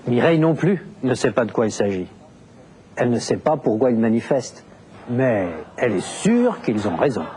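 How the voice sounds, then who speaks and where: A man narrating a language lesson, explaining deliberately with very clear enunciation. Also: medium pitch, sing-song, noisy background. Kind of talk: scripted teaching narration.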